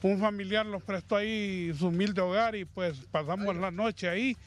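A middle-aged man with a Honduran accent interviewed outdoors about losing his home, speaking slowly and haltingly with religious phrasing, into a handheld mic. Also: sits high, steady tone. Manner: slow, halting, pious. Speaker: fire victim interviewed. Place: outdoors by a burned house. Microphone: handheld reporter mic.

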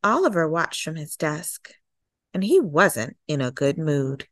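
A woman reading a children's picture book aloud expressively, acting out the dialogue in an animated way. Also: deep voice, unhurried, no echo, clean studio sound. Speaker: storytime host and reader.

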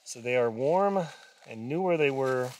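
A man's voice: medium pitch, animated, a little slow, darkish tone, low-quality recording. A middle-aged man giving casual, folksy instructions as he handles gear, recorded on a camera inside a small tent. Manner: folksy, talking while busy with gear. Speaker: solo winter camping vlogger. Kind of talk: casual instructional vlog talk.

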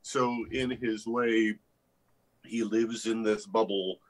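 An older man, an actor giving reflective, articulate interview answers over a video call in measured phrases. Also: lowish voice, fairly even intonation, low-quality recording. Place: indoor room with bookshelves.

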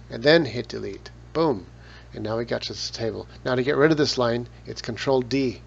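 A man talking through a photo editing tutorial step by step, relaxed, with casual asides. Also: mid-range voice, creaky. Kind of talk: screen-recorded software tutorial. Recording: dull lo-fi voice with loud noise.

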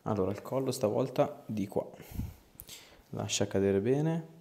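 A man's voice: fairly low pitch, expressive, a little slow, vocal fry, mellow, a little hiss or hum. A man, working as a chiropractor and giving a patient short instructions and questions in a small treatment room. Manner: short directive phrases and questions. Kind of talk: calm hands-on clinical instructions to a patient.

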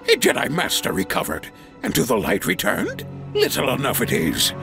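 A man playing a tiny, ancient, wise alien master with a gravelly croak, measured cryptic delivery and inverted word order, in an audio drama. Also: a little high, huge pitch swings, music in the background, exaggerated voice. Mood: measured, coaxing. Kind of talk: fan audio drama acting.

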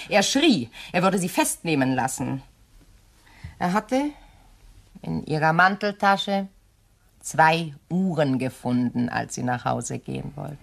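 A composed widow in a crime radio play, her voice on the low side. She is measured and courteous, with a shrewd, quietly menacing edge to her words. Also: exaggerated voice.

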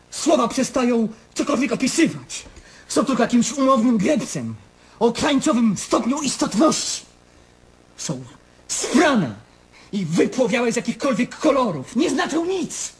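An actor in a radio play voicing an anguished, self-questioning middle-aged man, brooding and intense, speaking in halting monologues. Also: very high-pitched, big pitch swings, slightly rough, full character voice. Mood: agitated, bitter.

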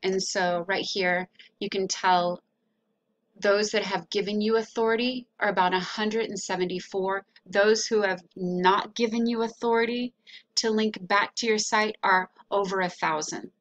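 A woman presenting a website audit as a consultant, talking through a screen share in a casual, explanatory way with hesitant pauses. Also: medium pitch, steady tone.

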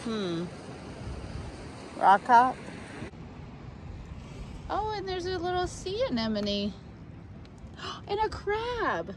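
A woman's voice: high voice, very slow, pauses often. A woman chatting playfully as she hikes a rocky beach at night, filming on a body-worn camera. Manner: chatty, playful, excited exclamations. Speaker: hiker vlogger. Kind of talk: casual narration while hiking. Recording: noisy outdoor camera audio.

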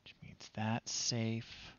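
A middle-aged man giving casual, low-key live commentary as he plays retro games, often muttering to himself. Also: monotone, drawn-out words, fairly bright tone, no echo, in a whisper, lo-fi sound. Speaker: livestream host playing retro games. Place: small room at home, indoors.

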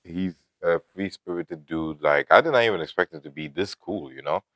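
Very deep-voiced young man vlogging. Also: moderate pace, a bit bright, dry recording. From grew up in Lebanon. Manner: loose, rambling, fillers and "you know". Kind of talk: casual talking-to-camera vlog. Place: indoor room of a house. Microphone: lapel mic.

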